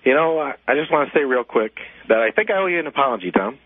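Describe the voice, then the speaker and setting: A man's voice: fairly high pitch, very animated, quick pace, no room sound. A man phoning a radio show, speaking in an earnest, effusive, personal way. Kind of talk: personal phone call. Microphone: telephone. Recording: thin tinny phone line.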